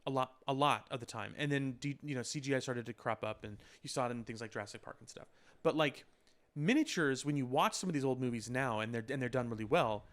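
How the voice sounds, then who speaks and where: A man's voice: medium pitch, fast, a bit bright. A man co-hosting a podcast in casual discussion, explaining his points with lists of examples. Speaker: podcast co-host. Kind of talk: casual discussion of films and effects.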